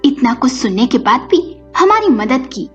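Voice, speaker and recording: A woman's voice: high voice, very animated, unhurried. A woman storyteller voicing an earnest, reproachful young daughter-in-law in a family story. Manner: pointed, reproachful. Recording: muffled recording with music behind.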